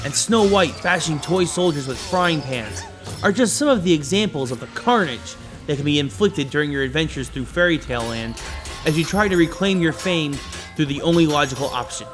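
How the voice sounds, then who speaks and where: A man with a slightly high voice presents a scripted video game review. He phrases things emphatically, like a practiced presenter. Music plays behind his narration. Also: animated, moderate pace. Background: background music.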